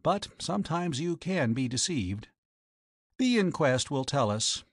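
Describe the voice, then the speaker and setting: A man's voice: a little high, sing-song, unhurried, no echo, clean studio sound, full character voice. A man voicing a young doctor in an audiobook story, frank, puzzled and emphatic. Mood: brisk, puzzled. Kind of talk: audiobook character voice.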